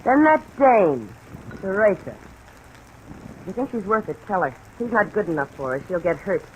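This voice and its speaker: A woman's voice: medium pitch, sing-song, moderate pace, dull audio, close to an everyday voice. An American woman playing a brassy, bitter, wisecracking burlesque dame in an old-time radio drama. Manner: wisecracking, slangy, blunt. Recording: old radio recording, faint crackly hiss.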